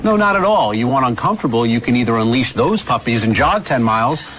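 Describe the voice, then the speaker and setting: A man's voice: mid-range voice, lively intonation, fast talker, a bit bright, low-quality recording. A man presenting a show in a cheeky, joking way with scripted comedic banter. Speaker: show host.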